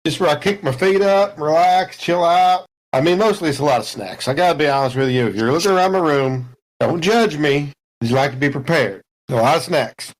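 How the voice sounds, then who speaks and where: A man's voice: fairly high pitch, a little fast, hardly any pauses, lightly acted, poor audio. A man voicing Bosco, a laid-back, sloppy space trucker with a folksy, drawling manner. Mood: easygoing.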